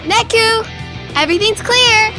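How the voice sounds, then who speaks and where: A woman's voice: very high voice, unhurried, music in the background. A woman playing a kind, earnest young girl who fights demons in an audio drama. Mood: urgent.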